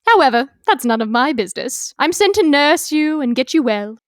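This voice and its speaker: A woman's voice: sits high, big pitch swings, normal speed, dry recording, clean studio sound. A woman playing a hard, indifferent old hired prison nurse in a literary audiobook, brusque and self-righteous. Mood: callous, brusque. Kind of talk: dramatized literary audiobook acting.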